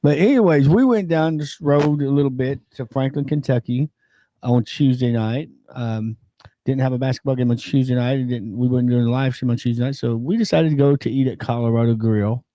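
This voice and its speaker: A man's voice: mid-range voice, a little fast, mellow, no echo, rough recording. A man, co-hosting a casual wrestling talk show and telling a rambling story, fighting a lingering cold. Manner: rambling, many fillers, chuckles at his own story. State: has a lingering cold, keeps losing his voice. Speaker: co-host of a local wrestling and sports show.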